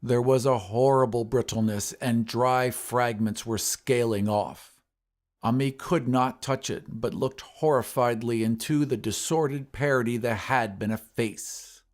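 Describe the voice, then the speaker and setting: A man giving a grave, measured narration of a scripted horror story in an audio drama. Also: medium pitch, fairly even intonation.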